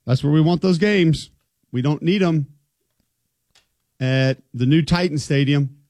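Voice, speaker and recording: A man's voice: a little high, unhurried, darkish tone, no room sound, studio quality. A man co-hosting a casual sports talk show, joking wryly and reading facts aloud. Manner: wry, joking, reads stats aloud.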